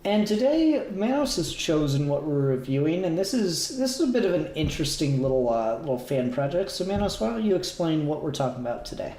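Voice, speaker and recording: A man's voice: on the high side, sing-song, brisk, few pauses, mellow. A man hosting a review show in casual chat, with hesitant, rambling phrasing that repeats words. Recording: roomy sound with faint background noise.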